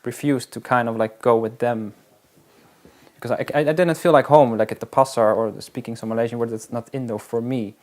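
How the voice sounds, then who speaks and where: A man, a wilderness guide, talking in a casual interview in a halting, reflective way with frequent restarts. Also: medium pitch, steady tone, a little mellow. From Netherlands.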